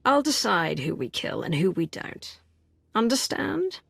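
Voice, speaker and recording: A heroic female warrior of a space game, composed and resolute. Her mid-pitched voice is measured and firm, recorded dry and close. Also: sing-song, creaky, fairly bright tone, husky, no echo, full character voice. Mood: firm, commanding. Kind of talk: dramatic video game voice acting.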